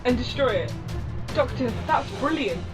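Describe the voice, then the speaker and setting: A woman's voice: high-pitched, animated, normal speed. A woman voicing an eager, anxious young human companion in a fan audio drama. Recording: music underscore.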